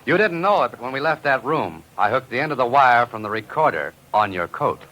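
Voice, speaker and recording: A man's voice: medium pitch, fairly quick. An actor playing a cool-headed, wry amateur sleuth who narrates his own adventure in a vintage radio drama. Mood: wry triumph. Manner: wry, deadpan, unruffled. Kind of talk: 1940s-50s radio detective acting and narration. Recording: noisy vintage radio recording.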